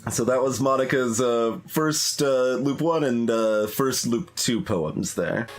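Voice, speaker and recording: A man's voice: mid-range voice, slow pace. A man giving casual, analytical game commentary and reading poems aloud, hesitating as he thinks things through. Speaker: video game streamer doing a blind playthrough. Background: soft plucked guitar music.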